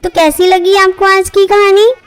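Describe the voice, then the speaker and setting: A woman's voice: very high-pitched, flat delivery, unhurried, soft background music. A woman narrating a scripted children's moral story as its storyteller.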